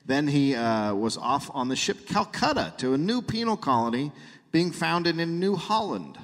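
Animated man telling stories in a reverberant hall. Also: fairly high pitch, moderate pace. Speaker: podcast host and comedian. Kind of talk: live comedy podcast storytelling. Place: live show in a hall.

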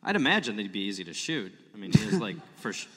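A man co-hosting a live comedy podcast with playful, quick improvised banter and interjections. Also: fairly low pitch, big pitch swings, breathy edge. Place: live show in a hall. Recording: live hall reverb, faint noise.

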